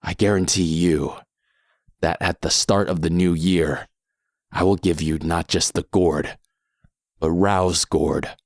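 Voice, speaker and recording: Guy doing a calm menacing masked merc, low voice, super dry sound, measured audio drama delivery. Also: no room sound. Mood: cool, assured. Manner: measured, unhurried, coolly threatening. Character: calm masked mercenary man, cool and menacing.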